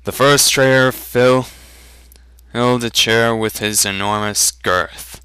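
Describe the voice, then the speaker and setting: Slow, bright-voiced male story narrator. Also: medium pitch, steady tone, smooth, dry recording, low-quality recording.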